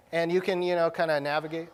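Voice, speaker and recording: A man presenting a live tech demo at a conference session, speaking in an informal, slangy, casual way. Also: on the high side. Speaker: conference presenter, technology director.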